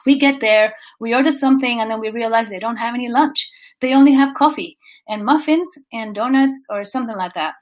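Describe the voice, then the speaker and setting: Woman from venezuela, casual livestream storytelling, fairly high voice, animated. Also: fairly quick. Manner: animated, restarts phrases, briefly sings place names. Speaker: vlogger and coach.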